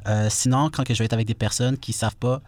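A young man with a Quebec French accent, talking casually on radio with relaxed fillers. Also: medium pitch, quick pace, no room sound. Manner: relaxed, frequent "euh" fillers. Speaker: guest co-host.

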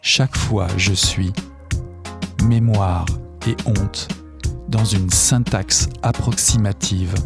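A radio show host, a man, slowly and lyrically reading literary excerpts aloud. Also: fairly low pitch, steady tone. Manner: slow, measured, lyrical reading. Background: soft music.

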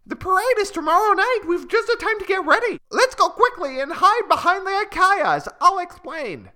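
A man voicing an excited tiny bird who talks fast and shrilly. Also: very high-pitched, voice pushed up, full character voice. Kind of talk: storybook reading.

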